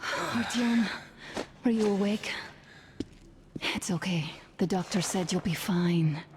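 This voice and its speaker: An actress voicing a worried, earnest young wife in a dramatic video game scene, softly pleading. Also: female voice, mid-range voice, slow pace, a little hiss or hum, natural voice.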